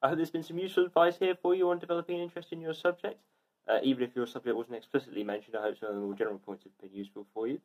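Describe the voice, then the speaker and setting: A man's voice: medium pitch, flat delivery, very fast, low-quality recording. A young man presenting to camera indoors and signing off to viewers. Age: young adult. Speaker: video presenter. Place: plain room, indoors.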